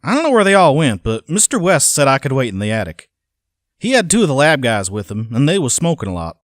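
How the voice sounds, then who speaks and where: A man's voice: mid-range voice, huge pitch swings, fast, no echo, clean studio sound. An actor playing a simple, obedient hired hand who reads his journal in a plain-spoken, hesitant way for an audio drama. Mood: uneasy, puzzled.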